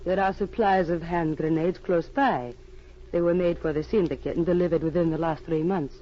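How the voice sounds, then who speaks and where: A woman's voice: fairly low pitch, fairly even intonation, moderate pace, natural voice. Actress as a guarded woman arms dealer, muffled. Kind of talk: 1940s radio drama acting. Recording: dull, noisy old radio recording.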